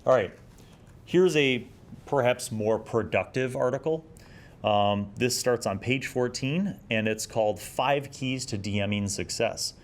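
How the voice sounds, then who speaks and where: A man's voice: medium pitch, unhurried. A middle-aged man giving casual, conversational commentary as he reads and reviews an article, with a wry, nerdy manner. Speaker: host of a retro tabletop gaming review series.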